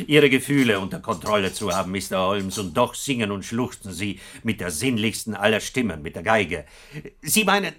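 An actor playing a self-assured, probing psychoanalyst in a German radio drama, eloquent and effusive, with rhetorical emphasis. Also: male voice, medium pitch, fairly bright tone.

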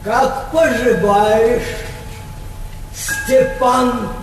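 An older woman playing an imperious aged princess on stage, commanding and deliberate with her servants. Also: on the high side, steady tone, a little hiss or hum. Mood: cold, commanding. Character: imperious old princess, curt mistress of servants. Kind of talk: theatrical stage acting. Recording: echoey theatre hall, distant mics.

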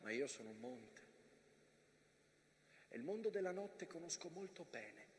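A mountain telling war stories, high voice, declamatory stage delivery, noisy reverberant hall. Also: male voice, sing-song, a little slow. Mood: melancholy, hushed. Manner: declamatory, swinging from hushed to shouting. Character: mountain as impassioned storyteller of soldiers at war. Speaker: stage actor. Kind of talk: theatrical monologue, dramatic narration. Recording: reverberant hall with background noise.

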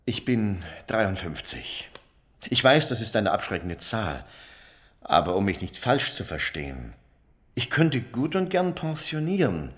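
A man's voice: fairly low pitch, big pitch swings, measured pace, fairly bright tone. An actor playing a formal, anxious man in his fifties seeking work in a radio drama, speaking politely and hesitantly in careful words. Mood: earnest, urgent. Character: anxious, formal 53-year-old male job seeker. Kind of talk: naturalistic German radio drama acting.

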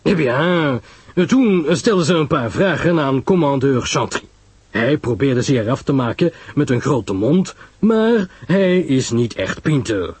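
A man voicing a grave, self-assured older detective who explains his reasoning in a measured, emphatic way, in a radio story. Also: mid-range voice, lively intonation, a little mellow, slightly rough, no echo.

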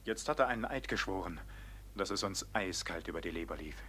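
An actor playing a hearty, excitable robber in a classic radio drama, exclamatory and urgent. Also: male voice, deep voice, fairly quick, husky, exaggerated voice. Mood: alarmed.

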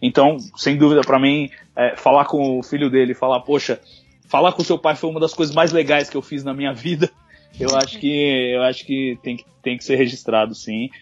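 Husky-voiced man on lo-fi audio. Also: mid-range voice, steady tone, a little fast, faint music behind. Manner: thoughtful, joking, with filler restarts. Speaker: journalist and guest. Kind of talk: casual podcast chat. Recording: muffled lo-fi audio.

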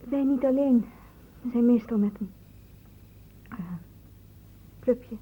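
A woman's voice: fairly high pitch, fairly even intonation, slow pace, husky, phone-quality sound. A young woman playing a timid, polite student in a Dutch radio play, hesitant and deferential. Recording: faintly hissy old radio recording.

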